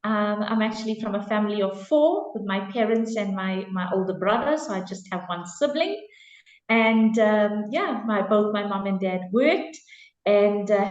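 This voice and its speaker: A woman's voice: medium pitch, roomy sound, highs cut off. A South African woman in her forties, answering interview questions about her career in an earnest, reflective way with frequent fillers and restarts. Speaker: interview guest, HR executive.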